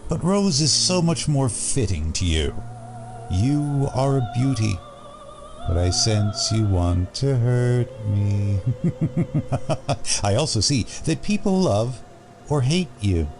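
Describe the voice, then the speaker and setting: A man's voice: mid-range voice, very animated, music in the background. An actor playing a sly, deranged male asylum patient in an audio drama, theatrical, taunting and menacingly playful. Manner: theatrical, taunting, slow, giggling.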